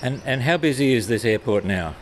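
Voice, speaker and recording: A woman, interviewing at a regional airport, who asks unhurried questions and gives brief prompts. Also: a bass voice, lively intonation, slight background noise.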